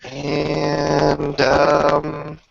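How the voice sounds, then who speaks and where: Slow, very gravelly man running a game. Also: a little high, completely flat delivery, no echo. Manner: halting, with drawn-out pauses while narrating. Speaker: game master. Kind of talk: improvised tabletop game narration. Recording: dull lo-fi voice recording.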